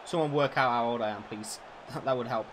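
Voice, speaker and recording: A man's voice: medium pitch, fairly quick, background noise. A man in his twenties casually chatting and swearing freely while streaming a football video game, answering his viewers' questions. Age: 20s. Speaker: gaming streamer.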